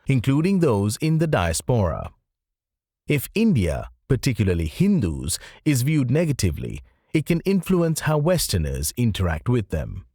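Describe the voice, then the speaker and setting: A man reading scripted nonfiction audiobook narration in a measured, carefully enunciated way with emphatic phrasing. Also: medium pitch, darkish tone, dry recording, clean studio sound.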